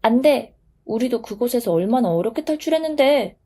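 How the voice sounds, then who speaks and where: Storybook dust specks, tiny and cheeky, high squeaky chatter, as a woman puts them on. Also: expressive, fairly quick, dark tone, husky. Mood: squabbling.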